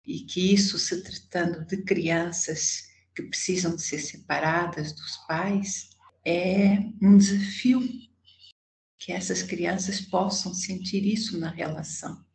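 A woman in her sixties from Portugal, with an accent softened by years in Brazil, speaking as a psychologist guest in a reflective, halting, deliberate way. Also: lowish voice, fairly even intonation, vocal fry. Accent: Portuguese softened by decades in Brazil. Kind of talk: reflective conversational interview. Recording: echoey room with background noise.

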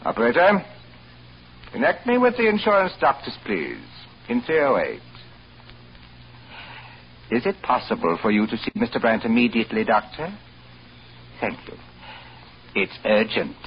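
An actor playing a menacing, deliberately polite man in a vintage radio suspense drama. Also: medium pitch, very animated, highs cut off. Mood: brisk, controlled. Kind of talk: 1940s-50s American radio suspense acting. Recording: noisy, band-limited old radio recording.

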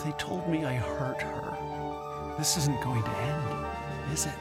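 Male actor as troubled anthropologist, anxious, intense, reluctant, audio drama, mid-pitched voice, loud music underneath. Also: slow pace, natural voice. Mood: guilty, weary.

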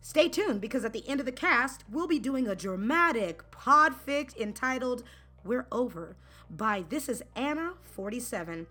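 A woman's voice: on the high side, lively intonation, normal speed, pushed and bright, soft background music. A woman co-hosting a casual podcast, playful and bubbly, often tossing in sound effects and little sung bits. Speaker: podcast co-host.

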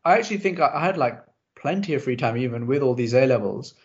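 A man's voice: mid-range voice, expressive. Chatty young man, slightly muffled audio. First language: Urdu. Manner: chatty, self-deprecating, quick asides. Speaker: podcast host. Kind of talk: casual interview chat.

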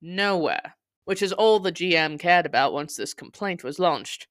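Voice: mid-range voice, lively intonation, normal speed, a bit bright, no room sound, clean studio sound. A podcast host delivering dramatic scripted storytelling in a theatrical, sardonic, verbose manner with scornful emphasis.

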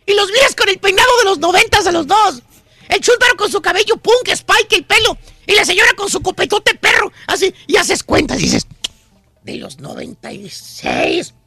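Man high-pitched gravelly radio comic. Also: no echo. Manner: joking storyteller, shouting punchlines. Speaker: radio comedy host. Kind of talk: comic monologue.

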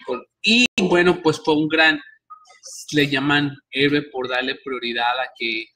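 A man's voice: on the high side, a little slow, pushed and bright. A man hosting a podcast, telling stories animatedly with many restarts. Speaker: podcast host. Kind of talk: casual interview chat.

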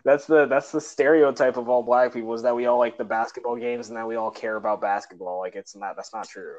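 A man's voice: mid-range voice, steady tone, quick pace, a little mellow. A man co-hosting a casual podcast chat, laughing often and stumbling over repeated words as he starts sentences. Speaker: podcast co-host. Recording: dull lo-fi audio.

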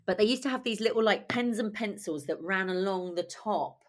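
A woman in her forties with a husky voice and a cold, talking in a lively, chatty way as an interview guest. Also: medium pitch, normal speed. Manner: chatty, lively, laughs often. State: has a cold. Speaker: guest writer and director. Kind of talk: conversational interview.

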